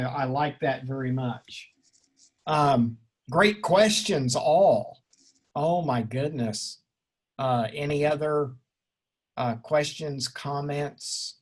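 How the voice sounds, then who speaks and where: A man leading an informal seminar discussion as the instructor, effusive and erudite, rambling and joking, with a slightly husky voice. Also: mid-range voice. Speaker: instructor leading an online class session.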